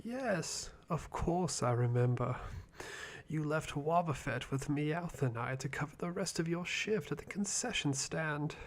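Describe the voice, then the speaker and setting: A man playing a vain, whiny and childishly enthusiastic villain sidekick in an ASMR roleplay, with a breathy, theatrical delivery. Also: fairly high pitch, lively intonation, a little slow. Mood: petulant, whiny. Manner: flamboyant, dramatic, petulant.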